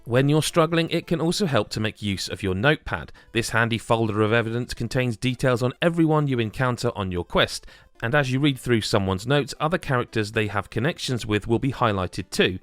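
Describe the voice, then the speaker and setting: A man's voice: medium pitch, animated, brisk, dry recording. A man reading a scripted video game review as the reviewer.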